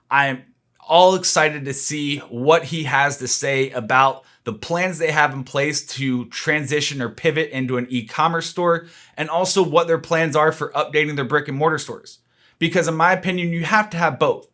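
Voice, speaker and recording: A man's voice: fairly high pitch, fairly bright tone. A man giving informal market commentary, explaining his opinions in a conversational, analytical way.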